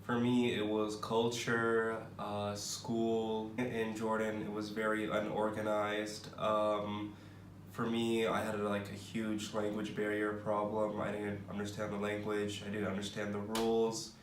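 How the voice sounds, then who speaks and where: Young man from San Francisco, monotone interviewee, distant roomy recording. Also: lowish voice, measured pace. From San Francisco, United States. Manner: matter-of-fact, plainly stated answers. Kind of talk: casual interview answers. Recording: roomy, distant mic with faint noise.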